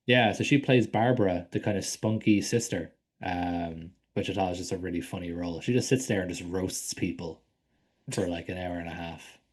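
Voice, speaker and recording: A man's voice: low-pitched, normal speed. A man co-hosting a casual film podcast, rambling with lots of ums and likes and casual swearing. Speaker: podcast co-host.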